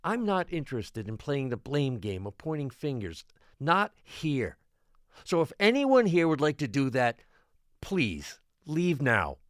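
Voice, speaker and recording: A harried island mayor addressing a public meeting. His pitch moves around animatedly as he stammers and pleads for calm. The drama recording is close and dry. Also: a little slow, no room sound. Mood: earnest, hesitant. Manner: placating, stammering, hesitant.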